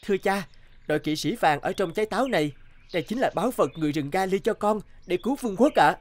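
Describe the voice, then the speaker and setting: A young cartoon prince, high voice, polite and brave, music behind him. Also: male voice, animated, fast, slight background noise. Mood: respectful. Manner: earnest, polite, theatrical. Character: earnest, brave young exiled prince, kind-hearted. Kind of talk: cartoon voice acting. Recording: music under the voice.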